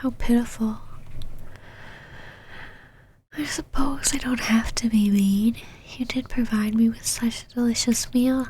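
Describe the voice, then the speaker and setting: A woman playing a possessive, teasing, domineering female vampire knight in an ASMR roleplay, mostly in a breathy whisper with a commanding manner. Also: on the high side, steady tone, moderate pace, darkish tone, a little hiss or hum, close to an everyday voice, poor audio. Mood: mocking, fond.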